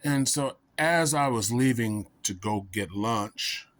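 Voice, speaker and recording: A man's voice: mid-range voice, takes it slowly, fairly bright tone. A man vlogging in a casual, comedic storytelling style, rambling and folksy, often making fun of himself. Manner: rambling, self-mocking, folksy.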